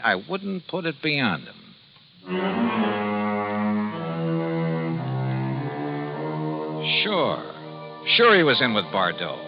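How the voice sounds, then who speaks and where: An actor with an American accent playing a wry, self-assured hardboiled insurance investigator in a 1950s radio detective drama. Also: male voice, medium pitch. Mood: musing, wry. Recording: muffled, hissy old radio recording.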